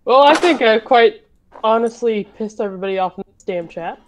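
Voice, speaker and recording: Higher-pitched, blunt, sarcastic woman. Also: fairly quick, slight background noise, low-quality recording. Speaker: show participant. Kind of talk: casual group chat.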